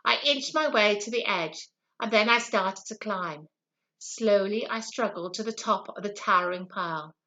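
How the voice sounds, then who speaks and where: A woman voicing a small, earnest pea that tells its own story in an expressive, confiding way for children. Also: medium pitch, normal speed, lightly acted. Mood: determined. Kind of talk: first-person children's story reading.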